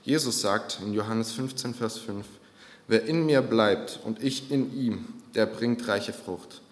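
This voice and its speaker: A man's voice: lowish voice, slow pace, roomy sound, in a large hall. A man preaching a sermon in an earnest, exhorting manner.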